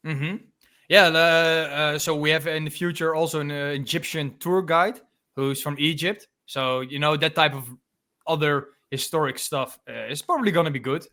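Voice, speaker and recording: A man's voice: fairly high pitch, steady tone, normal speed, bright, forceful tone. A man in his twenties from the Netherlands with a Dutch accent, co-hosting a casual history podcast in a loose, chatty way with many fillers. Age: 20s. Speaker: podcast co-host. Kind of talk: casual chat.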